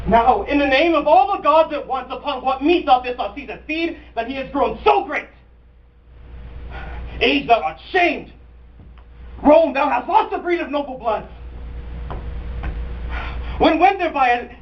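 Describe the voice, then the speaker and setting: A young man acting a bitter, envious Roman conspirator in a declaimed Shakespearean stage monologue. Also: high-pitched. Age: young adult. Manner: theatrical declamation, persuasive. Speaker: acting student. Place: acting studio. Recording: distant mic, muffled, faint noise.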